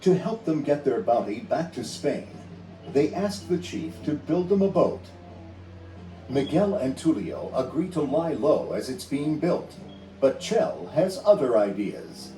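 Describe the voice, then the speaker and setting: A man narrating a scripted storybook read-along in a measured, dramatic storytelling manner. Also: on the high side, very animated, dark tone, echoey room, distant mic, faint music behind, rough recording.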